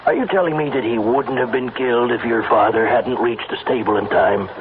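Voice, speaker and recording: An actor playing a grave, paternal country judge, measured and admonishing, in an old-time radio drama. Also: male voice, medium pitch, close to an everyday voice. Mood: reasoning, pressing. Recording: tinny, noisy old radio recording.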